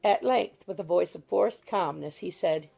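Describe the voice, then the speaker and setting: A woman's voice: fairly low pitch, normal speed, creaky, dark tone, husky, faint music behind. A woman reading scripted literary narration as an audiobook narrator.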